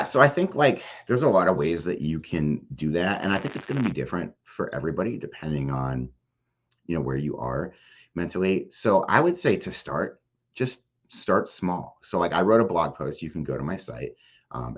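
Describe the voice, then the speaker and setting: A man with an extremely low, husky voice talks through practical advice as a guest. His wording is plain and conversational, full of "like" and "you know". The sound is somewhat dull and lo-fi. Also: expressive, brisk. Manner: plain-spoken, explanatory, peppered with "like" and "you know". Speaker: podcast guest, mental health advocate.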